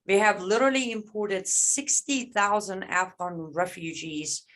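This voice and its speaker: A woman's voice: mid-range voice, animated, a little slow, fairly bright tone. A woman hosting an online Q&A over a video call, speaking hesitantly with many fillers. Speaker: host. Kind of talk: moderating an online Q&A.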